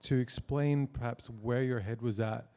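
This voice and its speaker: A man's voice: medium pitch, steady tone, measured pace, dark tone. A man hosting an interview, asking questions in a wry, teasing way with many hesitations. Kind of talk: casual interview talk.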